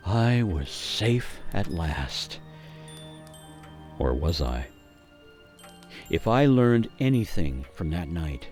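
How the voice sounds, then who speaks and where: Haunted gingerbread cookie, radio storytelling, unhurried, theatrical suspense, deliberate pauses, lowish pitch. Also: male voice, a little mellow. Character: gingerbread cookie survivor telling a grim, haunted cautionary tale. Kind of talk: dramatic monologue for radio storytelling.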